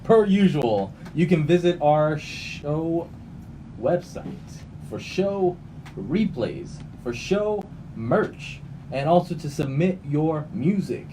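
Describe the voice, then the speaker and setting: Animated male radio host, noisy distant mic. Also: a little high, takes it slowly. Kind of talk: casual radio show banter.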